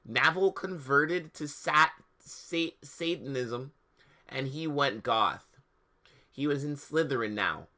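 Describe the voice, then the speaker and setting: A man's voice: medium pitch, animated, takes it slowly, sharp tone. A man hosting a livestream, giving a theatrical, mocking dramatic reading of fan fiction with casual asides. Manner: theatrical, mocking delivery that breaks into laughter. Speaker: livestream host.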